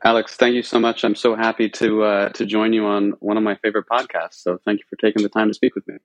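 A man's voice: fairly low pitch, flat delivery, fast talker, dry recording, rough recording. An American man from central Pennsylvania answering as a podcast guest, talking informally and at length, often correcting himself as he goes. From central Pennsylvania, United States. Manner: informal, discursive, self-correcting. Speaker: podcast guest, religion researcher. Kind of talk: conversational interview answers.

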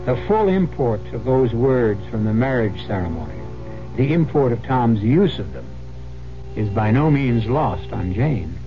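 An American man narrates a suspense story as a radio host. His delivery is formal, deliberate and ominous, in a medium-pitched voice. Music plays clearly behind the muffled old recording. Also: very animated. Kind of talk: dramatic scripted suspense narration.

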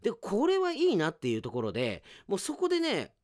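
A man hosting a radio show, chatting casually as he reads out listener mail and comments on it in an animated, chatty way. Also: sits high, a little fast, no room sound, clean studio sound. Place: dry radio studio.

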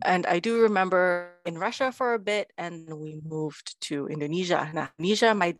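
A woman's voice: lowish voice, moderate pace. A woman in her thirties, a podcast guest chatting casually, switching between English and Indonesian as she tells stories. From Philippines. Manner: mixes English and Indonesian, animated storytelling. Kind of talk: casual chat.

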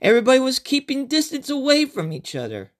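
A man reading a first-person horror story as a chatty survivor, with animated, emphatic storytelling. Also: extremely high pitch, quick pace, voice pushed up, full character voice. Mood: animated, foreboding. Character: chatty survivor narrator recounting an outbreak horror tale.

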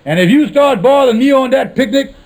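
High-voiced, scheming blustery radio con man. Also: lively intonation, a little fast. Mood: irritated, defiant. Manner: blustery, hesitant when plotting. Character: scheming, blustery lodge leader and small-time con man. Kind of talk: 1950s radio comedy acting. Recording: noisy vintage 1950s radio recording.

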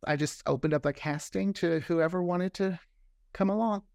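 A man's voice: sits high, moderate pace, creaky, no echo, studio quality. A man chatting casually as an interview guest, telling stories and often voicing quoted remarks as he goes. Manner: animated storyteller, often acts out quoted remarks. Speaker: guest, audio drama creator.

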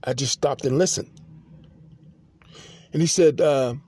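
A man hosting a podcast, telling a long personal story in a halting, rambling monologue full of restarts and fillers. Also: medium pitch, darkish tone. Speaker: podcast host.

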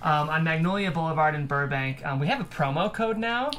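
A man's voice: a little high, brisk, crowd noise. A man hosting a script table-read show in a casual, chatty style. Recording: background noise, room ambience.

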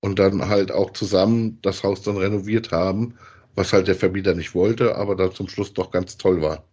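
Husky low-voiced man chatting. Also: steady tone, normal speed, darkish tone. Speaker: podcast co-host reviewing audio dramas. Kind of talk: casual discussion, personal opinions.

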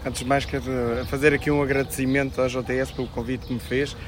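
A hoarse man in his thirties with a European Portuguese accent speaks in an even, monotone way. He hesitates often and restarts his phrases. Faint noise sits behind him. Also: medium pitch, quick pace. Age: 30s. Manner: hesitant, many fillers and restarts. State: strained voice. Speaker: local election candidate. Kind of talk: political interview answers.

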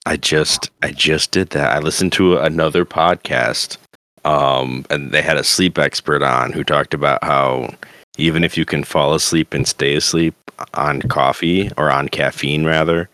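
A man co-hosting a podcast in casual chat, excitable and quick to laugh. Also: very deep voice, dry recording. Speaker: podcast co-host.

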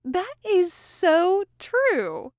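A woman's voice: extremely high pitch, wildly swooping pitch, drawn-out words, no echo, studio quality, pitched above the performer's own voice, full character voice. A woman voicing a bold, careless pirate woman who teases and bosses her friends in a fantasy story. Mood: teasing. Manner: careless, teasing, commanding.

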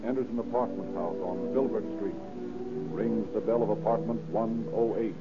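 An actor playing a formal narrator in an old-time radio crime drama. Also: male voice, fairly low pitch, steady tone, moderate pace, over loud music, lightly acted. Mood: measured. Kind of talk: 1930s radio scripted narration. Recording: tinny old radio recording.

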